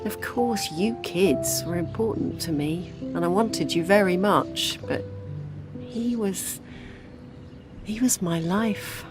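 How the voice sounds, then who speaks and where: Wistful grieving British widow, older. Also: female voice, lowish voice, takes it slowly, music in the background. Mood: grieving, tender. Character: grieving older widow, wry devoted mother. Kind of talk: intimate audio drama dialogue.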